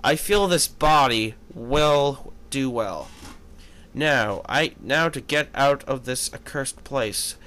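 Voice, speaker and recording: A man's voice: mid-range voice, lively intonation, takes it slowly, sharp tone. A man reading a fan fiction aloud as a formal, threatening ancient demon, pompous and grandiose. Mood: menacing, scornful. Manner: pompous, archaic wording.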